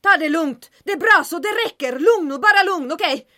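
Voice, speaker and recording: Lively, brisk, unflappable woman bar owner acted. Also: very high-pitched, slight background noise, exaggerated voice. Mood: urgent, commanding. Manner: brisk, matter-of-fact, reassuring. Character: tough, unflappable female bar owner hiding grim secrets. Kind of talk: audio drama acting.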